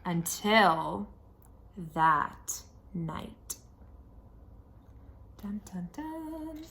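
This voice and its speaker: A woman reading a children's storybook aloud in an expressive style, with dramatic emphasis and pauses. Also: medium pitch, a little hiss or hum. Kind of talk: expressive picture-book read-aloud.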